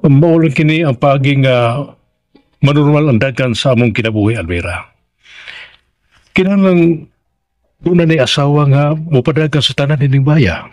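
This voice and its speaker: An actor playing a gentle, grieving widowed master of the house in a Filipino radio drama, halting and sentimental, with a Visayan accent. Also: male voice, mid-range voice, no room sound, natural voice. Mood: grieving, confiding. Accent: Visayan Philippine.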